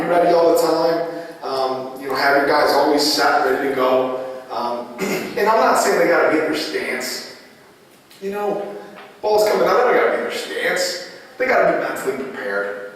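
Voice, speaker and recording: Hoarse man coaching in an echoey hall. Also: on the high side, lively intonation, normal speed, recorded from a distance. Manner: repetitive, drilling phrases, demonstrative. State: raspy voice. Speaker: lacrosse goalie coach. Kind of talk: coaching clinic lecture.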